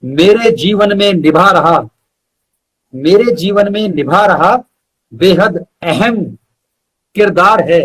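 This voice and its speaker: A man's voice: high voice, lively intonation, slow, pauses often, fairly bright tone, husky, rough recording. A man reciting poetry as a guest poet, repeating lines for emphasis, with short asides to listeners.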